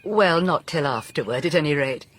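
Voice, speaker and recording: An actor in a radio drama playing a teasing, husky-voiced teller of a ghost legend. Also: male voice, on the high side, animated, moderate pace, a bit bright, slight background noise. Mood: hushed, knowing.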